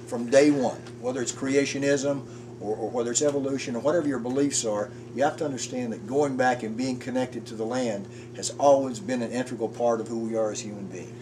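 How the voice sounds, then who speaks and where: A man in his fifties muses earnestly on a front porch, stopping and restarting as he gathers his thoughts. He sits some way from the mic, and steady outdoor noise runs behind his voice. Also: mid-range voice. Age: 50s. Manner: earnest, halting, with frequent restarts. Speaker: porch conversation guest. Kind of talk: casual reflective chat. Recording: distant mic with background noise.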